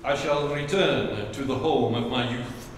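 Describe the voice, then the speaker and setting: An actor playing a formal, pompous butler in a staged 1940s radio comedy. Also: male voice, medium pitch, a little slow, natural voice. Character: pompous English butler, wordy. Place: theatre stage. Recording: echoey theatre, far from mic, faint hiss.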